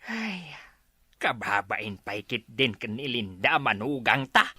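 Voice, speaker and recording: Woman acting sharp, chiding in-law, very animated. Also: very deep voice, slow, breathy edge, dry recording, clean studio sound, exaggerated voice. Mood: disapproving. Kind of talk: Ilocano comedy drama acting.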